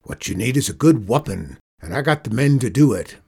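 Man putting on a gruff, growling Western outlaw boss. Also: medium pitch, lively intonation, brisk, darkish tone. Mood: taunting, commanding. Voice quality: growling, rough. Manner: drawling, folksy cowboy slang.

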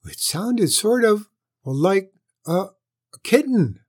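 A woman's voice: rumbling low, very animated, measured pace, warm tone, full character voice. A woman voicing an aging mother cat in a storybook, meek and faltering but politely stubborn. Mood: nervous, faltering.

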